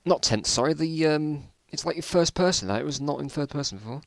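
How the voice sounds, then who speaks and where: A man's voice: on the high side, lively intonation, fairly quick, vocal fry, no room sound, studio quality. A man reading his own old stories aloud in a casual dramatic style, with self-mocking, bemused asides.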